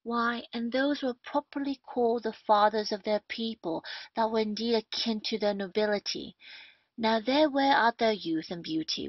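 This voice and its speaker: A woman's voice: medium pitch, no echo, natural voice. A woman playing a witty, cynical rake of a captain in a period comedy audio play, declaiming with mockery. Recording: dull, dry lo-fi audio.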